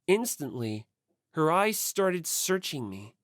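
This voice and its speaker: A woman's voice: low voice, very animated, slow, no echo, studio quality. A woman reading scripted first-person story narration as an audiobook narrator.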